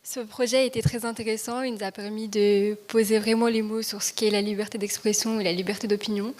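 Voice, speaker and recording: A young woman, a student, giving a prepared award speech in an earnest, halting way. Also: medium pitch. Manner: flat, earnest, halting with fillers. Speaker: student prize winner. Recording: echoey hall with faint noise.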